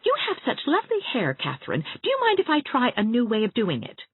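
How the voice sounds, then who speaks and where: Woman doing a high, bright, bubbly young woman; husky edge, thin phone-like sound. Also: fast talker, no room sound, full character voice. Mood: coaxing. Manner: bright, lively, persuasive. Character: cheerful, eager young woman, warm and coaxing.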